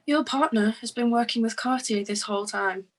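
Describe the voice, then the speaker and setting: A woman's voice: fairly high pitch, normal speed, natural voice. A woman playing a defiant, cornered suspect in a crime drama table read, speaking insistently. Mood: defiant, accusing.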